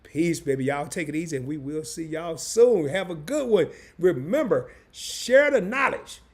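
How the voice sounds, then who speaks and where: A hoarse man hosting a live stream, chatting loosely and in slang straight to his viewers. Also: on the high side, expressive, normal speed. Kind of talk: casual live stream chat.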